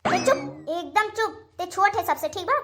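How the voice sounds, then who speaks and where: Bossy old Awadhi matriarch played by a woman, very high bright voice, hectoring cartoon scold. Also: expressive, moderate pace, soft background music. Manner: hectoring, repeating tags as threats. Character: bossy, scolding old village mother-in-law. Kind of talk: cartoon dialogue.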